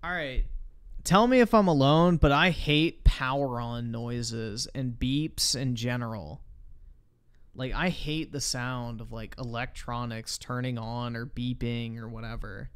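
A man's voice: mid-range voice, measured pace, clean tone. A man doing casual live-stream reaction commentary, blunt and slangy with frequent swearing. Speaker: livestreamer reacting to videos.

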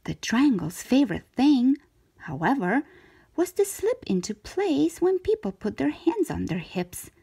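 A woman narrates a storybook for young children with wide, lively intonation. Her pace is slow and deliberate, stretching out key words. The voice has a slightly breathy, husky edge. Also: high voice. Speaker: children's storytime host reading a picture book aloud.

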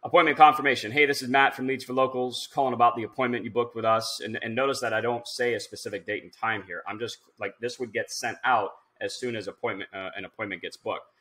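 A man in his thirties presenting a casual screen-share software tutorial, informal and instructional, thinking aloud as he goes. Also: medium pitch, fairly even intonation, fast talker, hardly any pauses.

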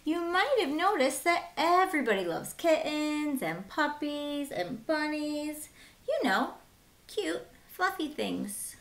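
A woman in her thirties reading a picture book aloud as a teacher, with simple, deliberate phrasing for young children and short asides. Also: high voice, big pitch swings, a bit bright. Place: small room at home, indoors.

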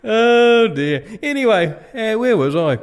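A man's voice: high-pitched, big pitch swings, unhurried. An English man playing a dithering, pedantic, deferential medieval gatekeeper in a comedy audio drama, rambling and fussy. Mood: fussy, placating.

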